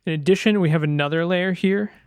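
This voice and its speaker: A man's voice: sits high, fast talker, clean tone, no echo. A man talking casually through a screen-share tutorial as a sound designer, informal and loose, thinking aloud as he goes.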